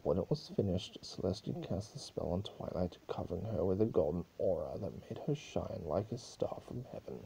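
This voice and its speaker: A man's voice: sits low, normal speed, gravelly, hardly any pauses, warm tone, husky, rough recording. A man giving an amateur dramatic reading of a story, now and then stumbling over his words. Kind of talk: dramatic scripted narration.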